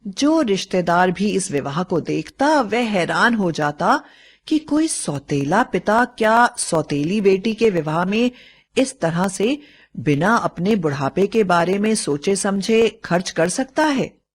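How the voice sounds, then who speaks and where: A woman narrates a scripted bedtime story. Her pace is very unhurried and her pitch sits in the middle range. She delivers it as a storyteller telling a moral tale. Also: very animated, slightly rough.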